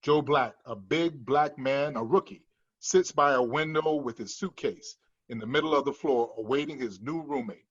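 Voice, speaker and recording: A man's voice: medium pitch, normal speed, slightly rough, highs cut off. A man narrating scripted stage directions at a screenplay table read.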